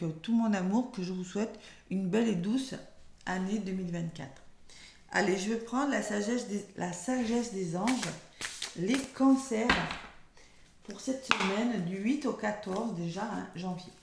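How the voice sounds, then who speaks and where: A French woman who is losing her voice, speaking softly and hoarsely as she gives a weekly tarot card reading, slowing down when reading cards aloud. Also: medium pitch, reverberant. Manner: soft-spoken, slow and measured when reading cards aloud.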